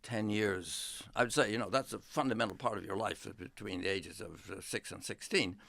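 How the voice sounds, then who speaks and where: Older male academic guest, unhurried anecdotes, dry studio sound. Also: lowish voice, creaky, a bit bright, dry recording. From England. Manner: unhurried, reflective storytelling with many pauses. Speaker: history professor, guest. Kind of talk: relaxed interview talk.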